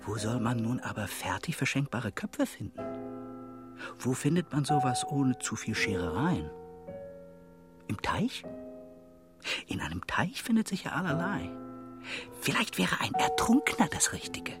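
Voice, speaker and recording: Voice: medium pitch, very animated, a little slow, pauses often, over music. An actor in a radio play playing a sly, gleeful plotter of grisly pranks, conspiratorial and swinging between whispers and shrillness. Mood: musing, scheming. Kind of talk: stylized radio play acting.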